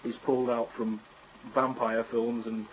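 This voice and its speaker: A man's voice: mid-range voice, little pitch movement, measured pace, a little hiss or hum, poor audio. A man, chatting casually as an interview guest. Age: 20s. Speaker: interview guest, amateur radio drama writer.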